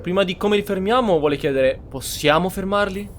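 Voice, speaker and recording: A man's voice: high-pitched, quick pace, slight background noise. A man giving casual commentary as he plays and roleplays through a video game. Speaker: gamer, roleplay series host. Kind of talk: casual Let's Play commentary.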